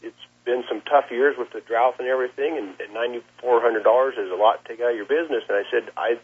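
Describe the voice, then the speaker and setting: A plain-spoken rancher, a man, talks in a rough, husky voice. He gives his account conversationally, stopping and repeating words as he goes. The sound is narrow and muffled, like a lo-fi phone call. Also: mid-range voice, fairly even intonation, fast. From Nebraska, United States. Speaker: cattle rancher being interviewed. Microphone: telephone. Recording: muffled, lo-fi phone interview line.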